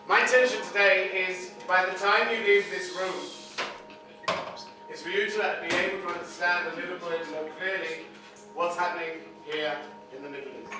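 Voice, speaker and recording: A man with an English accent lectures a roomful of people in a high, lively voice. He is provocative and self-mocking, and he stops interruptions firmly. The echoey room is picked up from a distance, with music running underneath. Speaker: guest lecturer.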